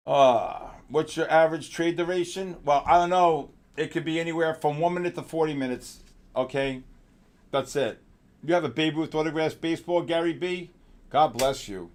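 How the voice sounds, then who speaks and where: Gravelly-voiced New York trading host. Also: male voice, medium pitch. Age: middle-aged. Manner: rambling, jokey, shouts out viewers by name; drawn-out announcer-style calls. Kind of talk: casual live market chat.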